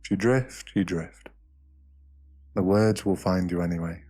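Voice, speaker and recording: A British man narrating an ASMR guided meditation in a slow, hushed, soothing way, with short phrases and pauses. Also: sits low.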